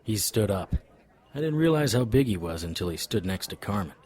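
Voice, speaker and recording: A man's voice: lowish voice, very animated, fairly quick, a little mellow, husky. A man playing a wry, world-weary paranormal investigator in an audio drama, narrating and talking with dry wisecracks. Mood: brooding narration. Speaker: lead character and narrator.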